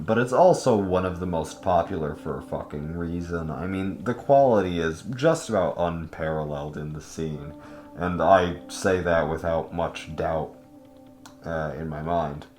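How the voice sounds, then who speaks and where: A man's voice: deep voice, animated, moderate pace, darkish tone, echoey room, faint music behind. A man giving casual, opinionated video essay commentary in an informal, emphatic way that leans on intensifiers and casual swearing. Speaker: host of a video guide.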